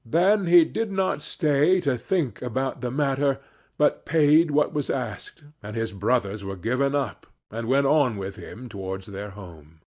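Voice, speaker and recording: A man narrating a fairy tale from a script in a measured, deliberate storytelling manner. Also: medium pitch, animated, darkish tone.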